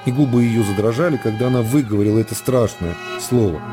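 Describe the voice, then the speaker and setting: A man's voice: medium pitch, fast talker, big-room sound. A man reading scripted literary prose as a dramatic narrator for a radio production. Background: music.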